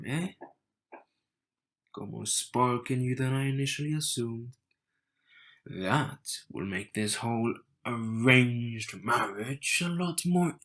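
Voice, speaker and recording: Man playing a slow-talking, cocky young bridegroom. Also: mid-range voice. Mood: teasing, intrigued. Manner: slow, teasing, smug, with sighs and soft chuckles. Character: cocky, wry, bitter young man in arranged marriage. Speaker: audio roleplay voice actor. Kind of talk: intimate scripted audio roleplay addressed to the listener.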